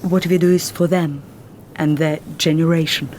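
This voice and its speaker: An actress with a Russian accent playing a guarded, earnest young Russian woman in a film drama who speaks in a formal, measured and reserved way. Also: sits low, fairly even intonation, a little mellow, a little hiss or hum, lightly acted.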